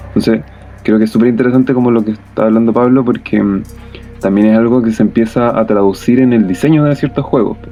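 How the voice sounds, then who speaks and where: Low, very mellow Chilean man. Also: steady tone, fast talker, soft background music. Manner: tentative, meandering, many tag questions. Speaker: podcast speaker. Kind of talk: casual explanatory chat.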